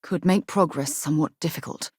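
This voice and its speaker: A woman's voice: low voice, fairly quick, darkish tone, breathy edge, no echo, clean studio sound. A woman playing an earnest, principled explorer and companion in a space video game, articulate with a wry streak. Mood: earnest, measured.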